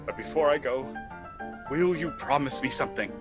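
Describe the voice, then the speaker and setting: A man's voice: medium pitch, expressive, moderate pace, over music, natural voice. A man playing a flustered, exasperated nephew in a radio comedy, stammering as he goes. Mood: exasperated, pleading. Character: frantic, flustered theater critic nephew. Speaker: radio play actor. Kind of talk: theatrical radio comedy acting.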